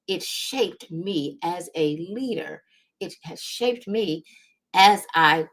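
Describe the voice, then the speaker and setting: A woman with a low-pitched voice delivers a motivational coaching talk. She is emphatic and encouraging, and she often pauses to check that the listener is following along. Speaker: business coach and series host.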